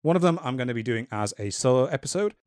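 A man hosting a casual podcast, talking in a measured way with wry, dry humor. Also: medium pitch, lively intonation, a little mellow, smooth, dry recording. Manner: measured, wry and dryly joking. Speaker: podcast host.

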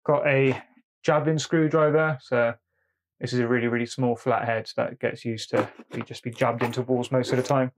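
A man with an everyday mid-range voice talks through his tools in a relaxed, chatty way. His speech runs loose and informal, and faint clicking and handling noise sits behind it. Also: mid-range voice, normal speed. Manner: chatty, repeats "really, really nice", calls tools "he". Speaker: plumber showing his tools. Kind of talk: casual show-and-tell. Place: indoor tabletop setup, speaker off camera.

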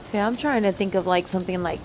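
A woman's voice: medium pitch, fast, poor audio. A young woman giving casual reaction commentary, tentative and thinking aloud. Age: young adult. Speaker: reaction show host. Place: small indoor studio, wearing headphones.

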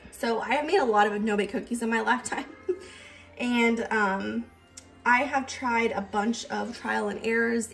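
A woman's voice: mid-range voice, distant mic. A young woman casually narrating a cook-along in her home kitchen, explaining each step with friendly fillers. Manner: chatty, explaining steps with fillers. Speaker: cooking vlogger.

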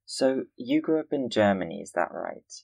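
A man's voice: lowish voice, sing-song, moderate pace, vocal fry, darkish tone, no room sound. A man from Austria hosting a conversational podcast interview in an earnest, tentative manner. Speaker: podcast host.

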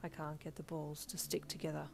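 Woman as a quiet, stoic teenage boy, low-pitched. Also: normal speed, creaky. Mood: half-sad. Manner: calm, quiet, flat.

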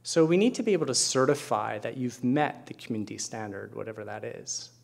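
A man's voice: mid-range voice, expressive, brisk, gravelly. A middle-aged man speaking as a keynote presenter at an academic conference, explaining things with conversational asides. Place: lecture hall at a podium, indoors.

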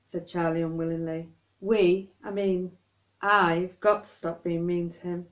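Woman reading a children's book, very up and down voice, kinda dull lofi audio. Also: fairly low pitch. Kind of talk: reading a children's story aloud. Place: high-ceilinged living room.

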